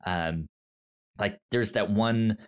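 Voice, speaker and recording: Low-voiced man chatting about film. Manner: halting, repeats words as he thinks aloud. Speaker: podcast co-host. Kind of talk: casual film discussion.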